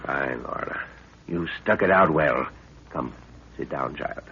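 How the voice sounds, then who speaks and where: A man's voice: rumbling low, unhurried, pushed and bright, breathy edge, highs cut off, full character voice. A man acting a commanding, fatherly middle-aged professor and expedition leader in a 1930s radio adventure, formal and authoritative. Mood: urgent, steady.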